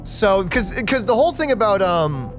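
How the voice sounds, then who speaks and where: Gaming host, medium-pitched voice, casual and reactive, faint game music behind. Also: sing-song, normal speed. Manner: informal, chatty, reactive. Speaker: let's play host.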